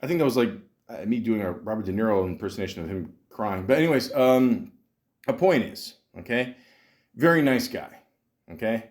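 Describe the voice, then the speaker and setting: A man's voice: on the low side, expressive, normal speed. A man hosting a podcast, delivering a rambling, profane comic monologue full of restarts. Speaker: podcast host. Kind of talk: casual comedic monologue.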